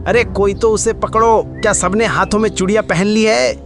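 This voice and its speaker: A man's voice: high voice, steady tone, moderate pace, gravelly, music in the background. A man voicing an indignant, melodramatic animal who blusters about being wronged, in a cartoon fable. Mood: wailing, indignant. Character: loud, indignant male animal robbed of food. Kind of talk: Hindi animated fable character acting.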